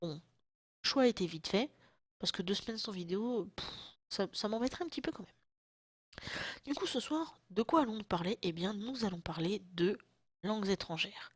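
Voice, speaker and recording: A woman in her thirties chats to her viewers in loose, slangy, self-mocking talk, her throat still a bit sore from a lingering cold. Her voice sits at an ordinary middle pitch. The sound is close and dry, with almost no room around it. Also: lively intonation, normal speed, pauses often, slightly rough, no room sound. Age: 30s. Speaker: vlogger. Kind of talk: casual chat to camera. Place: at home, indoors.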